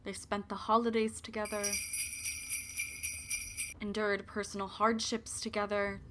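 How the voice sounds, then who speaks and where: A woman's voice: medium pitch, level tone, unhurried, background noise, natural voice. A woman playing a cynical, candid narrator in a scripted audio drama. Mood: wry, somber. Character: cynical, candid female narrator of a heartbreak story. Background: music.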